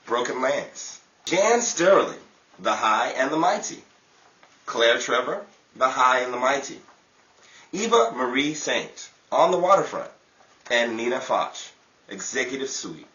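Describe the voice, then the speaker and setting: Lively, bright-voiced man announcing awards. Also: mid-range voice, measured pace, highs cut off. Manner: theatrical announcer delivery. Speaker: show host and cast member. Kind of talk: scripted old-time radio awards presenting. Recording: roomy, distant mic, faint crowd and hiss.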